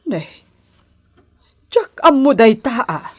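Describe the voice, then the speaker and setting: A woman's voice: fairly high pitch, big pitch swings, slow, husky, full character voice. An actress playing a stern, suspicious middle-aged mother in an Ilocano radio drama, admonishing, with a Filipino accent. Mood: surprised.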